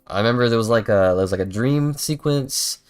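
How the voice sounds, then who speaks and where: A man's voice: medium pitch, brisk, clear, resonant tone. A man chatting casually as a game streamer, rambling and self-deprecating. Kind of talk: casual livestream gameplay chat.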